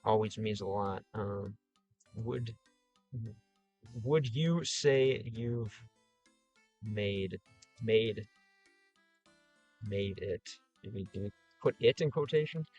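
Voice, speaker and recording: A man's voice: fairly low pitch, slow pace, pauses often, darkish tone, highs cut off, soft background music. A man with a Chicago Midwest accent, slightly whiny vowels, thinking aloud as he answers comments on a livestream with lots of fillers and restarts. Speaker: streamer and game creator.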